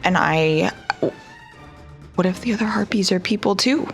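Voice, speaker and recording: A woman's voice: mid-range voice, normal speed, soft background music. A woman playing a tabletop role-playing game, casually describing what her character says and does. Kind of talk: casual in-game chat and narration.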